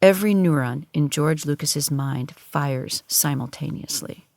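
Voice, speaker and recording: A man's voice: fairly high pitch, a little slow, vocal fry. Grandiose, straight-faced administrator narrator, played by a man, solemn audio drama delivery with prominent music. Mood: deadpan. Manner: deadpan, grandiose. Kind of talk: scripted-sounding storytelling narration.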